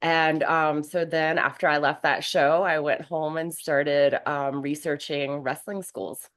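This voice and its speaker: A woman from North Carolina, hoarse from a cold, chatting as a guest in an interview with lots of fillers. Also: deep voice, fairly even intonation, a little slow. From North Carolina, USA. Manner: chatty, rambling, many fillers. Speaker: guest, professional wrestler. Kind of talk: casual interview conversation.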